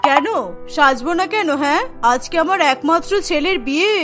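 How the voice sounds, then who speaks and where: A woman's voice: high voice, normal speed, loud music behind the voice, natural voice. A woman voicing a vain, boastful middle-aged mother of the groom in a cartoon. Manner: preening, boastful.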